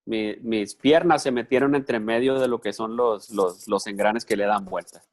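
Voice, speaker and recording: A man's voice: fairly low pitch, fairly even intonation, normal speed. Man interpreting a talk on the fly. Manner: conversational, with repeated words and restarts while interpreting. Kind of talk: live consecutive interpretation.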